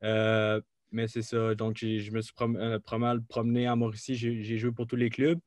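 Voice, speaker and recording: A young man with a Québécois accent, a college soccer player telling his story in an interview, with many pauses and restarts. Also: medium pitch, completely flat delivery, a little mellow, no echo. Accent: Québécois French. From Quebec. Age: young adult. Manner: hesitant, many fillers and restarts. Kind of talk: casual interview.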